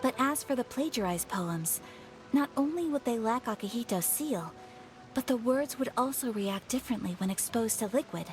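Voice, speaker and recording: Lady doing a polite young noblewoman from a game, highish voice, measured and bookish, bit of music. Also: expressive, close to an everyday voice. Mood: composed, explanatory. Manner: formal, explanatory, measured. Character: poised, courteous, learned young noblewoman. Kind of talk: scripted video game dialogue.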